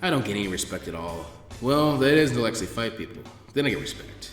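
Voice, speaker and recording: Man voicing a dry, sardonic ninja veteran, low-key. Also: expressive, quick pace, faint music behind, natural voice. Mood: dry grumbling. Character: laid-back, sardonic male ninja veteran.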